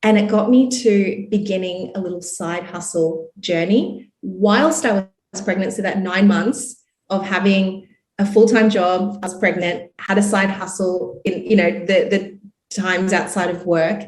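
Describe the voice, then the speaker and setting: An Australian woman in her thirties tells her story on a podcast in a relaxed, upbeat way, with plenty of "you know" and "like". Her voice sits at an everyday middle pitch. The recording has a noticeable roomy echo. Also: measured pace. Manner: chatty, upbeat, many fillers like "you know" and "like". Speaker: podcast guest, entrepreneur. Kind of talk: casual interview storytelling.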